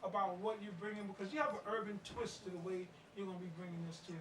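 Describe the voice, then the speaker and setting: A woman's voice: medium pitch, steady tone, fast. Woman hosting in an echoey room. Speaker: host introducing a stage play. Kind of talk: informal hosting before a live audience. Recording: echoey room, distant mic, clear noise.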